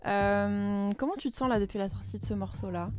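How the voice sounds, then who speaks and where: A woman's voice: mid-range voice, fairly quick, a little mellow. A woman hosting a radio show, upbeat and casual as she interviews a guest. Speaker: radio host.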